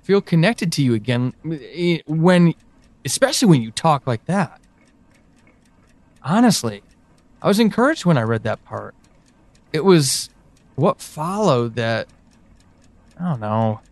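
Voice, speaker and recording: A man playing an anxious, pleading character in an audio drama monologue, speaking earnestly and haltingly. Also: a little high, big pitch swings, dry recording. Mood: hurt, hesitant. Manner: halting, earnest, with pauses and emphatic swings. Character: anxious, pleading man reaching out to a lost loved one.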